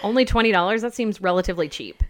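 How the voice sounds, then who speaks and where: A woman's voice: medium pitch, rapid-fire. A woman co-hosting a podcast in a casual chat, frank and sassy, saying "like" a lot. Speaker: podcast co-host.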